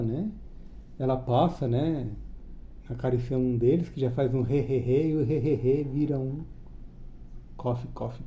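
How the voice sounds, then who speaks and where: Mellow-voiced Brazilian man reviewing comics. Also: mid-range voice, a little hiss or hum. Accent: Brazilian Portuguese. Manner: chatty, enthusiastic, frequent tag questions. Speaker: comic book reviewer and channel host. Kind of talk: casual spoken review.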